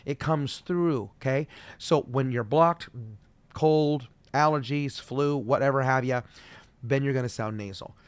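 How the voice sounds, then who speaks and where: A middle-aged man teaching as a vocal coach, friendly and explaining slowly with demonstrations. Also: mid-range voice, clean tone, no room sound. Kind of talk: solo video voice lesson.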